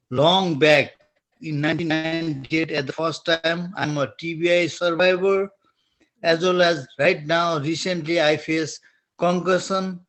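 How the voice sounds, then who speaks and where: Slow, halting Nepali-accented man. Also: a little high, fairly bright tone, poor audio. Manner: halting, restarts phrases, searches for words. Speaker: webinar attendee asking a question. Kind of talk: question in an online webinar.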